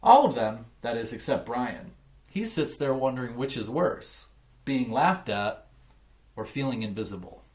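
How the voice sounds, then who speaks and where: A man reading a children's picture book aloud in an expressive, emphatic way, like a teacher. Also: mid-range voice, measured pace. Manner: emphatic, acts out dialogue. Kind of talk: expressive children's storybook narration.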